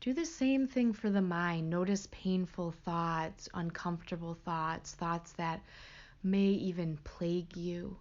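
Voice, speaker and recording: A woman leading a guided meditation as a teacher, gentle and hushed, with pauses between short phrases. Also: fairly low pitch, lo-fi sound.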